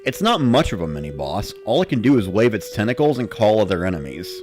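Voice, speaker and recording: A man narrating in the first person with an expressive, dramatic delivery. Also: on the low side, fast talker, soft background music. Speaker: narrator of a dramatic reading series.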